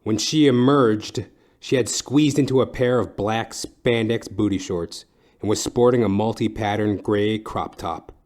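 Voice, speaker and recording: A man plays a hardboiled, down-at-heel private detective in a comic noir audio play. His voice sits fairly low, and he delivers his wry lines deadpan, with measured dramatic pauses. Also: close to an everyday voice. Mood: world-weary.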